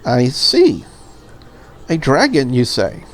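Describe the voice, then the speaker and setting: A woman voicing a polite, deferential and curious maid in a visual novel, in a courteous, measured manner. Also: extremely low pitch, wildly swooping pitch, voice pushed down, heavily acted. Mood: courteous, tactful. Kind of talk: visual novel character read aloud.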